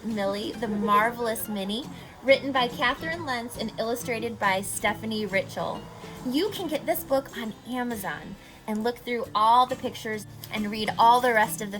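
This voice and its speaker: Animated, bright-voiced woman reading to children. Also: a little high, poor audio. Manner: expressive, addresses children with questions. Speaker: host of a children's read-aloud show. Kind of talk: read-aloud and teaching aimed at young children. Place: on location at a horse facility.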